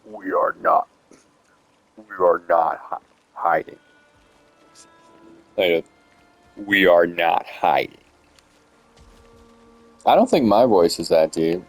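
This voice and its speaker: A man's voice: low-pitched, expressive, slow pace, pauses often, no echo, faint music behind, low-quality recording. A young man casually commenting on a video game as he plays, rambling with lots of hesitant fillers. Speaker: gamer streaming a playthrough.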